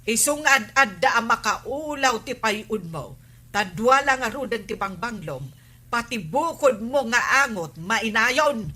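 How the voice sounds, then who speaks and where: A nagging Filipino wife in a radio comedy drama. Her fairly high, bright voice scolds and complains at an unhurried pace, drawing words out in exasperation. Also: expressive, breathy edge, heavily acted. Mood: exasperated.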